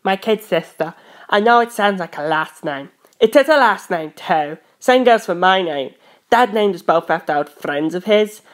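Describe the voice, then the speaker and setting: A woman's voice: mid-range voice, lively intonation, normal speed, natural voice. Woman as a brash, chatty teenage girl. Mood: chatty, wry. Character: brash, teasing teenage girl, older sister. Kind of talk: audiobook character voice.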